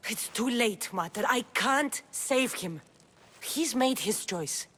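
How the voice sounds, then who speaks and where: A woman's voice: a little high, lively intonation, takes it slowly, a bit bright, exaggerated voice. An actress playing a resolute, guarded young Greek warrior woman in a video game, speaking in a firm, earnest way. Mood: resigned, pained. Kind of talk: dramatic video game acting.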